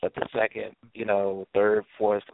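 Low, gravelly man over the phone. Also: completely flat delivery, unhurried, a little hiss or hum. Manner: effusive, repetitive, hype-man talk. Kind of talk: casual promotional chat. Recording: tinny phone line.

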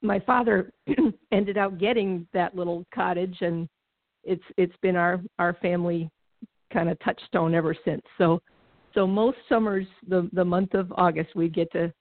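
A woman's voice: fairly low pitch, fairly even intonation, measured pace, dry recording. A middle-aged woman from Connecticut, a guest on a talk show, chatting casually and laughing often. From Connecticut, New England. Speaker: guest, former baseball team executive. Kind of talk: casual conversational interview. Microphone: phone call. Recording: tinny phone line, dry.

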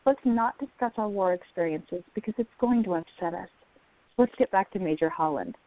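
A woman's voice: medium pitch, moderate pace, natural voice. An actress playing a supportive, teasing young woman in a radio play, on a thin recording. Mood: gentle, admiring. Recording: thin, phone-like audio.

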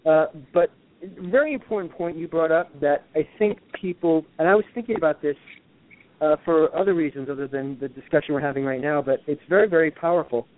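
Higher-voiced man on a tinny line. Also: brisk. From New York. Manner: earnest, searching for words. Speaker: host. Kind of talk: casual discussion. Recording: tinny phone-like line with faint noise.

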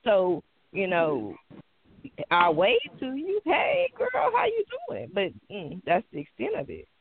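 A woman with a gravelly edge whose pitch swings widely as she makes her points with emphasis. She comes through a tinny phone line with a faint hiss. Her delivery is expressive and pointed. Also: takes it slowly. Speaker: podcast co-host. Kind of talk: casual chat.

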